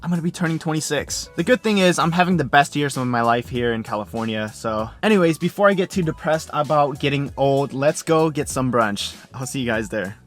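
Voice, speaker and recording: A man's voice: mid-range voice, expressive, a little fast, few pauses, faint music behind. A young man in his twenties talking casually to camera from inside his parked car, upbeat and rambling. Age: 20s. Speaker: vlogger. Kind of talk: casual vlog chat to camera.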